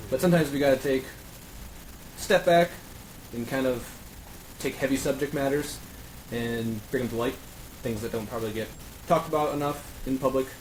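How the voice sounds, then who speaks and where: A man hosting a casual group podcast chat, recorded in an echoey room with background noise. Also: medium pitch. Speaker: podcast host.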